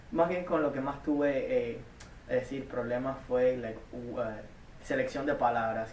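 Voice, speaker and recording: Earnest young man, halting, echoey room. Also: mid-range voice, a little mellow, slight background noise. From Cuba. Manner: earnest, halting, with many restarts and repeated words. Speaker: community college student. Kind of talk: interview answers. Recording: echoey room, mic at a distance.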